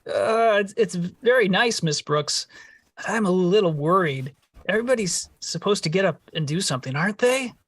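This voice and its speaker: A man acts a shy, earnest schoolteacher from a vintage radio comedy. He speaks hesitantly with very lively pitch, a little distant from the microphone. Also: moderate pace, dry recording. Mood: nervous, bashful. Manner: hesitant, bashful. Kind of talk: 1940s radio comedy acting.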